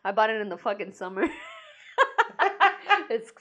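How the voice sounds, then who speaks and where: A woman's voice: mid-range voice, flat delivery, moderate pace. A young woman with a Mexican accent co-hosting a podcast in casual chat, laughing often and swearing freely. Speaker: podcast co-host.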